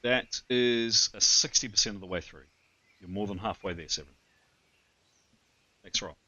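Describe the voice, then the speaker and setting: A man running a tabletop game as game master, thinking out loud and correcting himself as he goes. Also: lowish voice, normal speed, husky, dry recording, highs cut off. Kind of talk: casual tabletop game narration and adjudication.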